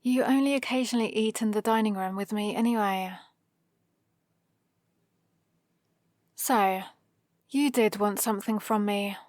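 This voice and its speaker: A British woman playing a proud, scheming supervillain with a soft spot, in a teasing, intimate ASMR roleplay. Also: medium pitch, normal speed, no room sound, close to an everyday voice. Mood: exasperated, scolding. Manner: teasing, sardonic, casually conversational. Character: proud scheming female supervillain, gruffly caring for a rescued hero.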